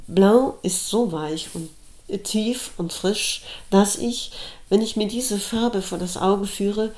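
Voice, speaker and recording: Slow, measured middle-aged woman reader. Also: medium pitch, a little mellow. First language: German. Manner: slow, measured, thoughtful reading. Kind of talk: reading a reflective personal essay aloud. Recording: roomy echo.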